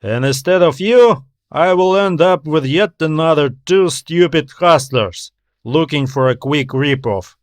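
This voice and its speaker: A male narrator performs a jaded trader on a remote space station in a sci-fi audiobook. The man is frank and informal, with a sardonic grumble and a fairly high pitch, in a very dry recording. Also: measured pace, dry recording, lightly acted. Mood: grumbling.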